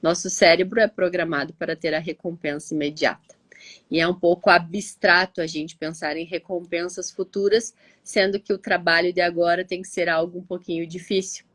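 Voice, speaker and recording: A woman's voice: fairly low pitch, fairly even intonation, normal speed, fairly bright tone, no room sound. A stuffy-nosed woman teacher from Curitiba with a Brazilian Portuguese accent, explaining in a chatty live talk, often tagging on "né?". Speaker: teacher and live show co-host. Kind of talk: casual explanatory chat.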